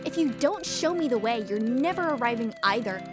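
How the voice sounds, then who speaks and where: A woman's voice: a little high, expressive, a little fast, over music, close to an everyday voice. A woman voicing a vain, rude, nihilistic schoolgirl in a dating sim fan dub, with sarcastic, theatrical delivery. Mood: exasperated. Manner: sarcastic, foul-mouthed, theatrical.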